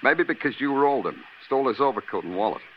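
Male actor as hard-boiled police detective, American, terse, sardonic, very varied pitch, old radio drama, heavy background noise. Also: lively intonation, fast talker, close to an everyday voice. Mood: dry, needling. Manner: terse, dry, clipped. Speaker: lead actor and narrator. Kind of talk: 1940s-50s American radio crime drama acting. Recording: muffled, noisy old radio broadcast recording.

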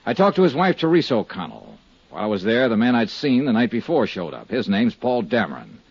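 A muffled, dry 1950s radio recording of a world-weary American investigator. His voice is low and husky, and he talks in clipped, candid, hard-boiled phrases. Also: wildly swooping pitch, brisk, no room sound, full character voice. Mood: matter-of-fact. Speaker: lead actor and narrator of a detective serial. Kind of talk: 1950s radio detective drama acting.

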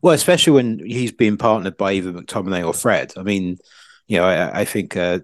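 A man giving casual football analysis as a pundit, haltingly, repeating words as he thinks. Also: low-pitched, no room sound, clean studio sound.

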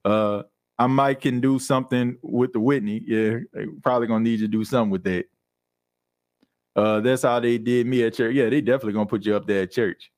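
A man's voice: mid-range voice, a little fast. Laughing young man hosting a podcast. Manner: laughs often, animated impressions of church folk. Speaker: podcast host. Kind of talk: casual chat reading live comments.

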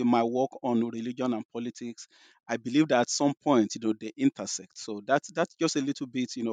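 A middle-aged man from Nigeria speaks with a clear Nigerian accent, working through his answers in a halting, thoughtful way that leans on "you know." The recording is dry and close, with no room sound. He speaks at a middle pitch for a man. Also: a little mellow, no echo. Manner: thoughtful, many restarts and "you know" fillers. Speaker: guest scholar. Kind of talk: interview answers.

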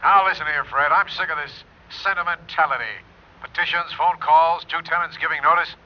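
An actor playing a curt, penny-pinching, irritable building boss in a classic radio drama. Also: male voice, a little high, fairly even intonation, brisk, lightly acted. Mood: irritated. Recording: dull old radio recording.

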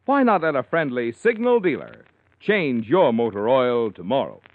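A man's voice: medium pitch, very animated, moderate pace. A man working as a radio announcer, with polished, emphatic 1940s commercial delivery. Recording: tinny old radio recording.

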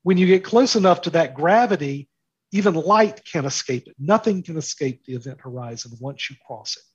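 Husky, higher-pitched man explaining. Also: expressive, a little fast, dry recording, lo-fi sound. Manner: explains with analogies and rhetorical questions. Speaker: interview guest, market and politics commentator. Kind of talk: explanatory interview commentary.

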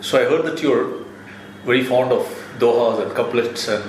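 A man's voice: medium pitch, normal speed, slight background noise. Guy interviewing, prompting questions, sounds a bit off mic and echoey. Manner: brief backchannels, prompting questions. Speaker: interviewer, journalist.

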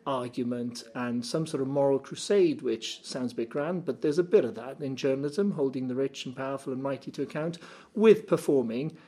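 A man's voice: medium pitch, moderate pace, warm tone. A middle-aged Welsh man, a native Welsh speaker, giving articulate, reflective interview answers with a wry touch. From Cardiff, Wales. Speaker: guest, journalist and communicator.

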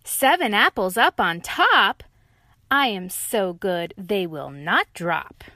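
A woman voicing a boastful male tiger in a picture book, talking loud and high. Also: animated, slow, no room sound, clean studio sound, exaggerated voice. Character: boastful male storybook tiger stacking apples.

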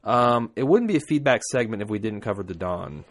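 A man's voice: lowish voice, lively intonation, a little fast. An American man co-hosting a podcast, riffing casually and comically with irreverent, profane, ranting delivery. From United States. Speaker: podcast co-host. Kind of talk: casual comedic banter, reading listener feedback.

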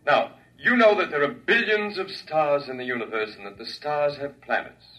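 An actor playing a dignified alien lecturer in the guise of an elder gentleman, speaking formally and grandly in a classic radio drama. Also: on the high side, normal speed. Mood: lofty explaining. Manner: stately, formal, reassuring, grandiose. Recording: distant-miked vintage radio recording.

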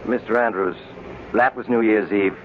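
An actor playing a calm, probing doctor, measured and courteous, in a 1940s radio drama. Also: male voice, on the low side, lightly acted. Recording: slightly noisy, muffled old-time radio recording.

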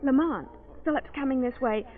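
An actress playing a curious, lively society woman with an eager, chatty manner in a 1940s-style radio play. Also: high voice, moderate pace, narrow, tinny audio, soft background music, natural voice. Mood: chatty, playful. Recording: thin old radio recording.

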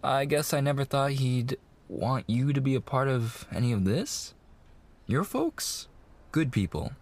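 An actor playing a mafia boss's young son, an eager, sweet fiancé coaxing in slangy gangster talk in an intimate roleplay. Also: male voice, medium pitch, very animated, measured pace, a little mellow. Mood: flustered, reassuring. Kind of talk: boyfriend ASMR roleplay, intimate monologue.

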